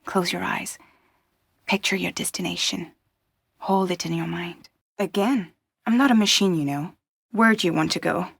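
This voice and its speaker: Low, breathy, guarded courier. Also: female voice, dry recording, clean studio sound. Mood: gentle, guiding. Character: guarded, wry, resilient woman courier in post-apocalyptic world. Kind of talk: cinematic video game acting.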